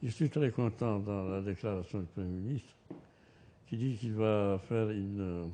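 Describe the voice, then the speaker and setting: A man from Mauritania, a senior guest in a televised panel debate, who speaks in a measured way and repeats his points for emphasis. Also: lowish voice, warm tone, slight background noise.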